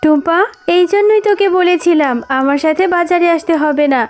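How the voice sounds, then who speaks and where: A gentle, poor mother fox from a cartoon, acted by a woman. Her voice is very high, with an earnest, motherly manner. Music plays underneath. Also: fairly quick. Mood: worried. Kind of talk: cartoon voice acting.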